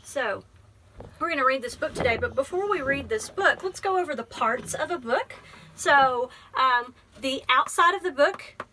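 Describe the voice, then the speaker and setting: A woman teaching and reading aloud to children in a high, bright voice. She explains simply and asks guiding questions, with some faint noise behind her. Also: lively intonation, normal speed, poor audio. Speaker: library teacher.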